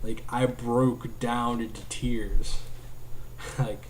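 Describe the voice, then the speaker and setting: A man's voice: mid-range voice, flat delivery, very slow, a little hiss or hum, low-quality recording. A young man talking casually to his webcam in a small room at home, rambling with lots of "like" and many restarts. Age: young adult. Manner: rambling, heavy use of "like", restarts often. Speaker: vlogger. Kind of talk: casual talk to camera. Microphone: webcam at desk distance.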